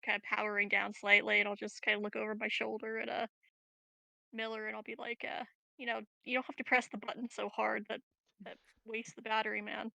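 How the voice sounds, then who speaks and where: A woman's voice: on the high side, little pitch movement, fairly quick, vocal fry, bright, forceful tone, dry recording, low-quality recording. A woman playing a tabletop game, chatting casually as a player.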